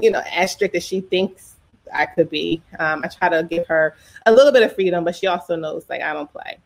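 A woman, a mother and parent advocate, answering interview questions in a frank, casual way, often saying "you know" and "um.". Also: fairly low pitch. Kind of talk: conversational interview.

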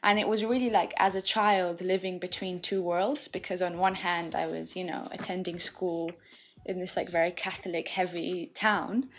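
A young woman, a podcast guest, talking thoughtfully in casual conversation with frequent fillers and soft laughs. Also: lowish voice, fairly even intonation, normal speed, slight background noise. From England.

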